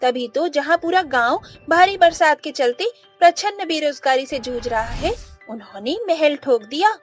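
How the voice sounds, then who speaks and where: A woman acts a jealous village gossip from a storybook tale. Her voice is high, suspicious and full of insinuation. Faint music runs underneath. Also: expressive, normal speed.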